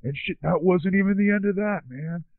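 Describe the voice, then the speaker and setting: A man's voice: high voice, moderate pace, dry recording. A man telling a rambling, casual story in a laid-back stoner drawl, filling every line with "dude" and "man". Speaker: recurring guest. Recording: tinny phone line.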